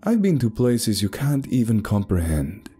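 A man's voice: mid-range voice, huge pitch swings, normal speed, warm tone, slightly rough, pitched above the performer's own voice. A man voicing a smug, mysterious, boastful male traveler in a told story. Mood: smug boasting.

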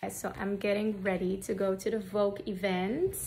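A woman's voice: medium pitch, level tone, normal speed, darkish tone, a little hiss or hum. A woman in her thirties chatting casually to camera as a vlogger, with plenty of ums and uhs. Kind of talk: casual vlog talk to camera. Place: home kitchen. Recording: echoey room.

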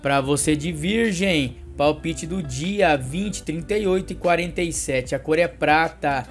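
A Brazilian man in his thirties reading a daily horoscope and pitching readings, with an affectionate, coaxing manner. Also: measured pace, faint music behind. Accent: Brazilian Portuguese. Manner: affectionate, calls listener "meu ser de luz". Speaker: astrologer.

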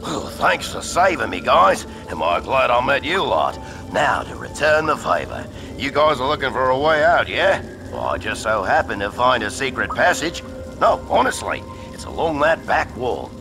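An actor playing a chatty, cocky outback tracker with an Australian accent in a cartoon video game. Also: male voice, medium pitch, big pitch swings, moderate pace, creaky. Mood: grateful, eager. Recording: loud game music behind.

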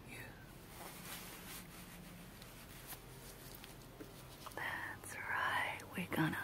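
A creepy female clown dentist, acted out by a woman in an ASMR horror roleplay. She whispers breathily and very slowly, drawing out each phrase with a teasing menace. The voice sits low and close. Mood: creepy, predatory. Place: small dark room indoors, close to the mic.

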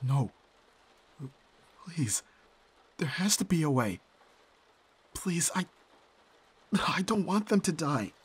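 A man playing an anguished, devoted young vampire in an audio roleplay, pleading haltingly in a breathy voice. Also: on the high side, sing-song, full character voice. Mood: desperate. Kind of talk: ASMR audio roleplay acting.

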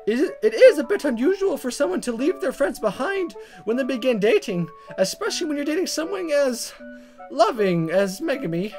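A woman's voice: high-pitched, big pitch swings, fairly quick, a little mellow, pitched above the performer's own voice. A woman voicing a teasing, affectionate mother from an anime visual novel, with playful, exaggerated sweetness. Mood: gently teasing. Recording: music behind the voice.

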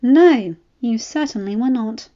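A woman voicing a pregnant young noblewoman, sharp-tongued and fierce, with a high, heated scolding manner, read as a storybook. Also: lively intonation, measured pace. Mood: angry. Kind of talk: storybook reading. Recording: slightly dull lo-fi recording.

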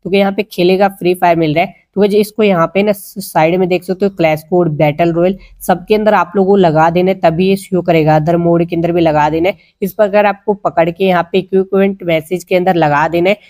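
A man's voice: high voice, fairly even intonation, fairly quick, few pauses, darkish tone. A man with an Indian accent giving a casual, instructional gaming tutorial voice-over, talking to viewers like friends. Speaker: gaming video creator.

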